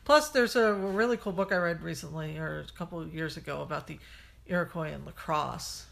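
A woman's voice: deep voice, a little fast, gravelly. A woman co-hosting a podcast in casual chat, talkative and laughing often, trailing off mid-sentence. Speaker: podcast co-host.

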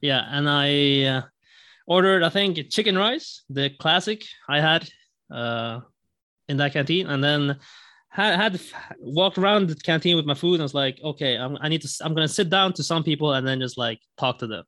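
A man's voice: a little high, moderate pace, sharp tone, dry recording. Hesitant young man from Sweden. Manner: hesitant, many fillers and restarts. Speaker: podcast guest. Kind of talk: casual storytelling.

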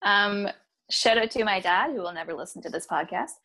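An upbeat woman chats on a podcast at an ordinary pitch. She explains things quickly and casually. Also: a crowd in the background, low-quality recording.

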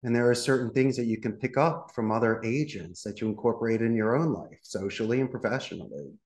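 A man's voice: medium pitch, fairly quick, a little mellow, roomy sound. A middle-aged man from Philadelphia, a call center CEO, speaking as a podcast guest in a confident, polished way. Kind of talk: podcast interview.

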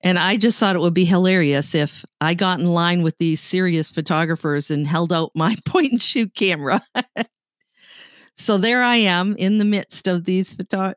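A woman's voice: fairly low pitch, moderate pace, no room sound, clean studio sound. A middle-aged woman from Canada with a light Canadian accent, co-hosting a podcast and telling stories in a chatty, laughing manner. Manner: chatty storyteller, laughs often. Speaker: podcast co-host. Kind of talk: casual chat.

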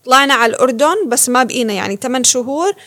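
A woman, born in Kuwait, telling her life story as an interview guest with lively laughter. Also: high voice, very fast. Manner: animated storytelling, laughs often. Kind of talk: casual interview.

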